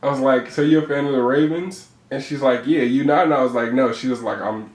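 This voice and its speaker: A laid-back young man hosts the interview in easy, slangy talk at a mid pitch. He laughs easily as he chats. The room around him has a clear echo. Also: a little fast, darkish tone. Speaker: interview host. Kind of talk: casual interview chat. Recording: echoey room.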